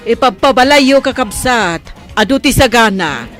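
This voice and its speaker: An actress plays a proud, hospitable village mother at a wedding. Her voice is fairly high and swoops widely, with an Ilocano accent. Light music plays behind this radio comedy. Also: animated, unhurried, fairly bright tone. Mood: festive, loud.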